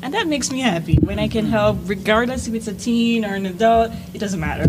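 A woman's voice: medium pitch, lively intonation, a little fast. A woman from Jamaica speaking as a panel guest, telling stories about her work in a casual way. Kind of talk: casual anecdotal storytelling. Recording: noisy live room with clicks.

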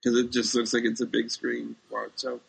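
A man co-hosting a podcast, chatting informally and with firm opinions about films and TV in a gravelly, husky voice. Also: mid-range voice, completely flat delivery, normal speed, low-quality recording. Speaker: podcast co-host. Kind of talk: casual chat about films and TV.

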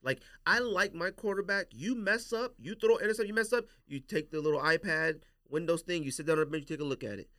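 A man's voice: a little high, fast, hardly any pauses, bright, forceful tone, no echo, studio quality. An American man hosting a casual sports podcast, giving emphatic, opinionated takes and repeating his points for emphasis.

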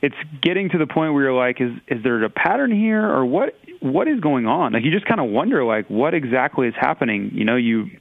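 A man giving casual sports analysis as a podcast panelist, musing in a measured, hesitant way. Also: mid-range voice, big pitch swings, vocal fry, dry recording, narrow, tinny audio.

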